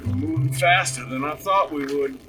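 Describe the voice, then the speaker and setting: A man playing a practical father who gives brisk instructions in an audio drama. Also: a little high, music in the background, natural voice. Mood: steady, directing. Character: practical father directing a family house move.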